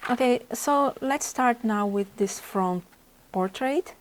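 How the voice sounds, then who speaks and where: A woman's voice: fairly high pitch, slow pace, rough recording. A woman with a Brazilian Portuguese accent, a native Portuguese speaker, teaching a portrait drawing lesson and thinking aloud as she sketches. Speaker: art instructor. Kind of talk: drawing demonstration.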